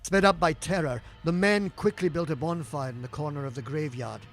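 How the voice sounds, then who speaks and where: A man's voice: on the high side, a little fast. A man narrating a scripted gothic horror tale in a theatrical, suspenseful storytelling manner with swelling emphasis. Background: music.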